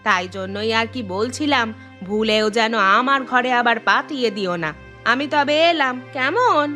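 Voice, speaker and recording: Woman voicing a sharp, nosy village neighbour. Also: a little high, animated, moderate pace, faint music behind. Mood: self-excusing. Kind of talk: animated moral story dialogue.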